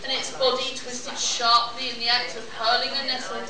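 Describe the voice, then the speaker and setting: A woman's voice: fairly high pitch, level tone, moderate pace, crowd noise. A woman reading scripted pulp science fiction narration for a live radio play. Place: convention hall before an audience. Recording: echoey hall, far off, audience noise.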